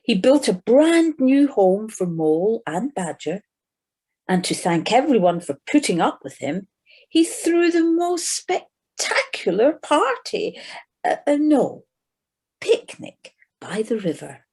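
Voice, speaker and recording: A woman's voice: on the high side, big pitch swings, takes it slowly, a little mellow, husky, exaggerated voice. A woman narrating a children's animal tale in an audio play, as a scripted storyteller.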